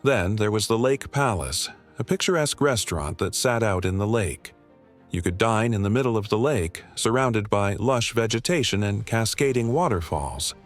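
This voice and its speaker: A man narrating a scripted documentary in a measured storytelling manner. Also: fairly low pitch. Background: background music.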